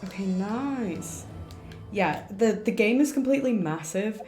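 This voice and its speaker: A woman's voice: medium pitch, expressive, measured pace, dark tone. A woman livestreaming a game, chatting casually as she plays. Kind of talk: casual chat while playing. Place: indoor room, livestream setup. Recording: roomy streamer mic with game music.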